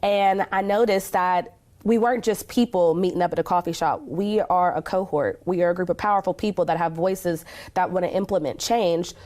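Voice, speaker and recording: Earnest American woman, slightly low voice. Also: steady tone, moderate pace, darkish tone. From Kentucky, United States. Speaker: interview guest, community advocate. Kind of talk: conversational interview answers.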